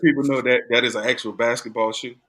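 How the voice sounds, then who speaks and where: A man's voice: mid-range voice, steady tone, fast, a crowd in the background. A man in his forties on a casual sports podcast, eagerly chiming in. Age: 40s. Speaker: podcast co-host.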